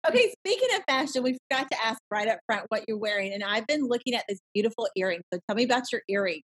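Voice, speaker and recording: A woman hosts a podcast interview in an upbeat, encouraging tone. Her voice has a slightly husky, breathy edge. She is speaking over a video call. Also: on the high side, animated, fast, fairly bright tone. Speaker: podcast host. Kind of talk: casual interview chat.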